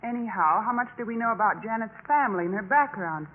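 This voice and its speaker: A woman's voice: a little high, lively intonation, quick pace, close to an everyday voice. An actress playing a status-conscious, cautious young sorority woman in a 1950s radio drama. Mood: cautious, snobbish. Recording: noisy, tinny 1950s radio recording.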